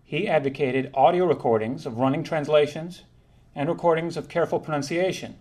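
A man presenting a prepared linguistics talk, reading in a measured, formal way and sometimes correcting himself. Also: fairly high pitch, a little mellow. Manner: measured, formal delivery with occasional self-corrections.

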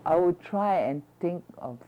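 A woman's voice: lowish voice, unhurried. An older woman, answering interview questions and explaining things with a rambling "you see.". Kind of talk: oral history conversation. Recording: dull lo-fi recording with faint noise.

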